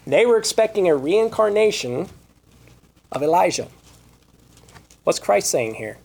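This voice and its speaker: A man preaching a Bible study sermon, reading scripture aloud and asking rhetorical questions, with his voice going hoarse. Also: high-pitched, animated, unhurried, a little mellow. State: losing his voice.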